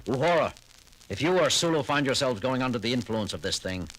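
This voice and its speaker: A man's voice: mid-range voice, lively intonation, a little fast, fairly bright tone, slight background noise, natural voice, poor audio. A man playing a clipped, commanding starship captain.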